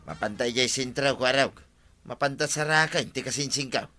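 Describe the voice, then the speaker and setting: A man's voice: mid-range voice, fairly quick, music in the background, natural voice. An actor with an Ilocano accent playing a chummy village sidekick who soothes and advises his friend in a radio comedy drama. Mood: helpful. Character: village buddy, soothing sidekick of a troubled captain.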